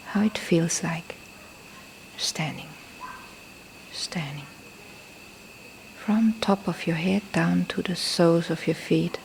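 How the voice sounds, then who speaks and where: A woman who is a non-native English speaker leads a guided meditation as a teacher, speaking softly and breathily, often near a whisper, in gentle, deliberate short phrases with pauses. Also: fairly low pitch, gravelly, low-quality recording. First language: not a native English speaker. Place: temple in Thailand. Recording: faint background noise, live field recording.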